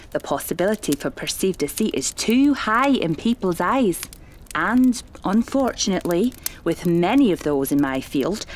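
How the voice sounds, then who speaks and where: Lively, genteel seasoned medium played by a woman. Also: medium pitch, slight background noise, close to an everyday voice. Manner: genteel, measured, dramatic storytelling. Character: seasoned, genteel female spiritualist medium, confident and theatrical. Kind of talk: radio play acting.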